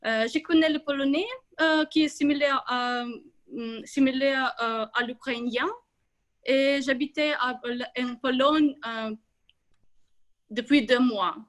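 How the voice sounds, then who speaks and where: Hesitant high-voiced woman. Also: fairly even intonation, takes it slowly, fairly bright tone. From Ukraine. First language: Russian and Ukrainian. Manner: hesitant, many filler sounds, restarts words. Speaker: club member giving a speech. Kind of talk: hesitant prepared speech.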